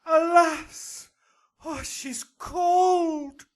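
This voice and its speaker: A man's voice: extremely high pitch, steady tone, very slow, exaggerated voice. An actor playing an imperious noble father broken by grief, declaiming in a Shakespearean radio drama. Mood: grief-stricken. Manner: declamatory, lamenting.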